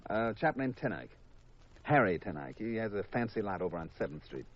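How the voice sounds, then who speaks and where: A muffled vintage radio recording presents a shrewd insurance man. He speaks in a fairly low but highly animated voice, businesslike and emphatic with a cynical edge. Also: fairly quick. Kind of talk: 1950s radio detective drama acting.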